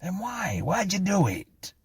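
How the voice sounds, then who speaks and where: A man voicing a frantic, pleading, guilt-haunted woman in a thin, breathy, tremulous falsetto for a storybook. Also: huge pitch swings, takes it slowly, no room sound, clean studio sound, exaggerated voice. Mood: confused, apologetic.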